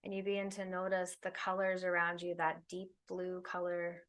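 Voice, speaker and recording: A woman leading a guided meditation, speaking soothingly in unhurried phrases with long pauses. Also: lowish voice, completely flat delivery, fairly bright tone. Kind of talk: guided meditation, spoken slowly to listeners.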